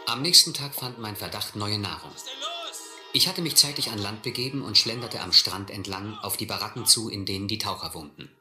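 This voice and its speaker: A suspicious, shrewd sea captain tells and lives an adventure in a radio play. His voice is forceful and sharp-edged, sitting a little low, with a dry, measured delivery in a roomy acoustic. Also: faint music behind. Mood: reflective narrating. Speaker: actor playing captain and first-person narrator. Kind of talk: German radio adventure drama acting.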